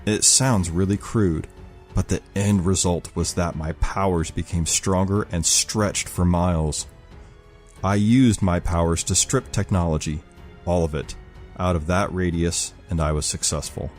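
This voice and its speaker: Man playing a deep, stern military leader. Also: steady tone, a little slow, a little mellow. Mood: grave candor. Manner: stern, formal speechmaking. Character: grim, commanding ex-military male leader, resolute and irritable. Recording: music behind the voice.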